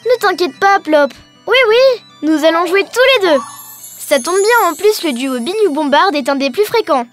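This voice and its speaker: A woman's voice: very high voice, expressive, normal speed. A woman voicing a cheerful young girl who explains and encourages in an educational cartoon. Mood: encouraging. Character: cheerful young Breton girl teaching her alien friend. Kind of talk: scripted educational cartoon dialogue. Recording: music behind the voice.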